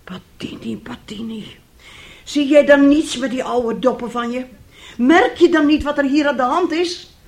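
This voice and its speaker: A woman's voice: high voice, a little slow. An actress in a radio drama playing a bitter, sarcastic middle-aged wife and mother who talks reproachfully and theatrically. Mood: ominous, exasperated. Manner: reproachful, sarcastic, dramatic, swinging between hushed and loud. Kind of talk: radio drama acting in Dutch.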